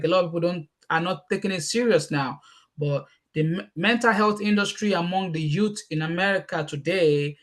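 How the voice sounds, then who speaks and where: A Nigerian-accented man, Yoruba by first language, answering questions on a panel. His voice sits a little high, and he talks fast and emphatically, repeating words when he gets excited. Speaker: guest speaker.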